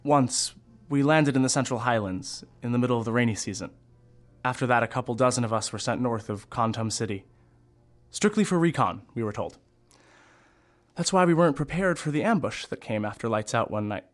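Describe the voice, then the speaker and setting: An actor playing a candid, wry theatre costume designer and Vietnam veteran in an audio drama, telling things in a measured, reflective way. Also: male voice, mid-range voice, lively intonation, lightly acted. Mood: grave, reflective. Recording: music behind the voice.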